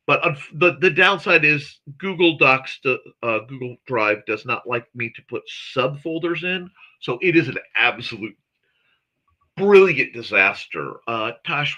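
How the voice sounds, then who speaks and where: A man's voice: on the high side, sharp tone, rough recording. A man hosting a casual morning livestream, chatting with viewers in a rambling, chatty way and often correcting himself mid-sentence. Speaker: host of a morning talk stream.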